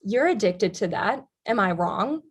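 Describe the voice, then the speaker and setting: A woman's voice: medium pitch, lively intonation, measured pace, slightly rough. A woman playing a bitter, combative young woman in a treatment group in a staged play reading, blunt, sarcastic and profane. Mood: taunting, bitter.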